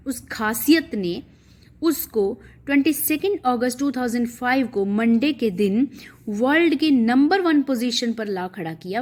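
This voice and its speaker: A woman teacher, reading lines slowly and then explaining them at length. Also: fairly high pitch, a little mellow. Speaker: English teacher. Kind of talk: explaining an English text in Hindi.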